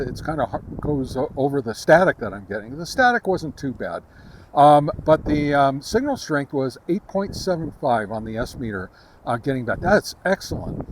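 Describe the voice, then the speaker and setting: Casual man, halting and conversational. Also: a little high, slight background noise, low-quality recording. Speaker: radio hobbyist reviewer and host. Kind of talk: casual on-location product test talk to camera. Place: outdoors, on a back deck and in a park.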